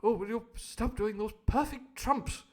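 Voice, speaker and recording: A man voicing a red-faced, posh old English squire who bellows his lines slowly and pompously in a posh English accent. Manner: booming, slow and pompous.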